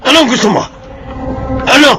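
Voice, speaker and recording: A man's voice: extremely high pitch, normal speed, noisy background. An actor in a Filipino radio drama playing a domineering, hot-tempered middle-aged father, stern and commanding. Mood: angry.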